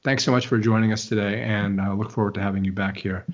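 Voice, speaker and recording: A man's voice: fairly low pitch, steady tone, fast talker. An older man hosting a bookstore interview show, asking rambling, musing questions with many restarts. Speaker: bookstore radio host interviewing an author. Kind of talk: conversational interview. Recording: dull, lo-fi sound.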